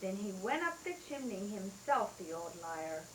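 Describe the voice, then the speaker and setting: A woman's voice: mid-range voice, sing-song, unhurried, reverberant, slight background noise, low-quality recording. A mother reading a rhyming bedtime story aloud in a sing-song way, taped at home.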